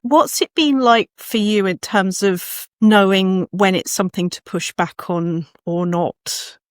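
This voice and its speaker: A woman's voice: mid-range voice, measured pace, no room sound, clean studio sound. A woman hosting a conversational interview, asking tentative, reflective questions that circle toward their point. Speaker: host, writing coach.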